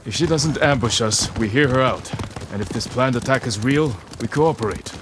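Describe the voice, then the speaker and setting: An actor playing an earnest, resolute younger samurai, measured, serious and direct, in a dramatic video game scene. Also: male voice, medium pitch, close to an everyday voice. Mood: wary, serious. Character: earnest, resolute younger samurai warrior, wary and level-headed. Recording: clear noise of outdoor game ambience.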